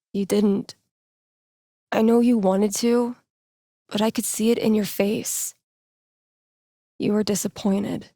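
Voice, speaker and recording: A woman's voice: mid-range voice, gravelly, darkish tone, dry recording. Young woman game character, radio dj type, candid n wry, takes her time talking, really dry recording. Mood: troubled, raw. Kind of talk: naturalistic video game acting.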